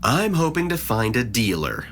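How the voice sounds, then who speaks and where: A man's voice: mid-range voice, sing-song, moderate pace, fairly bright tone, slight background noise. A man playing a sardonic, scheming teenage boy in an audio drama, articulate and condescending. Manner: articulate, formal phrasing, condescending.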